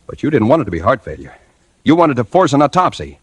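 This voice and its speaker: An actor playing a dogged, hardboiled newspaper reporter in a 1940s radio detective drama, with a husky voice and wry, clipped delivery. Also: male voice, mid-range voice, big pitch swings, fast, no echo. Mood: accusing, insistent. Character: dogged hardboiled male newspaper reporter narrating his case. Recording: old radio recording with faint music.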